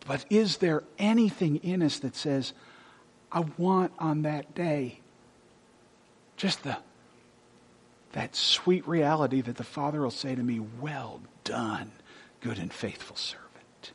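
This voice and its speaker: A male pastor preaching a sermon, reading scripture loudly and dropping to soft, hushed whispers. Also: a little high, slow, pauses often, breathy edge. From Texas.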